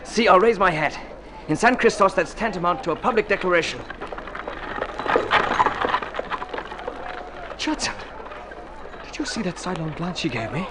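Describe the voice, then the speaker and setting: An actor playing a naive, excitable young English suitor with chummy, upper-class phrasing in an old radio drama. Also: male voice, high-pitched, brisk. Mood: excited. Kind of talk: 1950s radio drama acting. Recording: noisy old radio recording.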